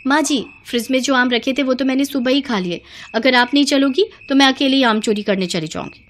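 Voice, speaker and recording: A woman's voice: high-pitched, fairly quick, pitched above the performer's own voice, lightly acted. A woman voicing a stubborn, demanding young daughter-in-law with whiny, insistent pleading in a cartoon story.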